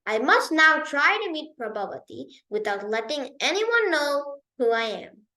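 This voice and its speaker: A woman voicing a devoted, determined young king in a storybook, speaking in an earnest, gentle manner. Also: sits high, very animated, a little slow, exaggerated voice, low-quality recording.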